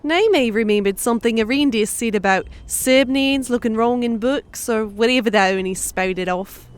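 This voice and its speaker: A woman's voice: a little high, normal speed, natural voice. A woman playing a grumpy, foul-mouthed female creature with a blunt, sardonic way of talking in an audiobook drama. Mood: sardonic, grumbling. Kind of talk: audiobook drama character acting. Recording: clear background noise.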